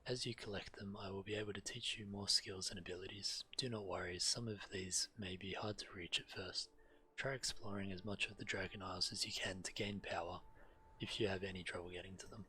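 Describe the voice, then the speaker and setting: A man's voice: deep voice, fairly even intonation, fairly quick, sharp tone, husky. A man reading game quest text aloud and commenting casually, sometimes stumbling and correcting himself. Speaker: game streamer.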